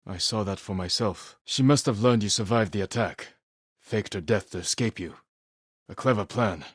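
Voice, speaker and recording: An actor playing a stoic, honorable samurai warrior in a video game, speaking in a measured, formal and grave way. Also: male voice, fairly low pitch, slightly rough, no echo, studio quality. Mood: grave, questioning. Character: stoic, honorable samurai warrior, adult man, solemn and protective. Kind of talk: dramatic video game acting.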